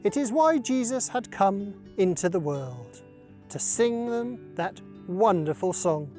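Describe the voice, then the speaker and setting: A woman's voice: medium pitch, sing-song, slow, warm tone, soft background music. A woman reading a Bible story aloud for children. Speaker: story reader.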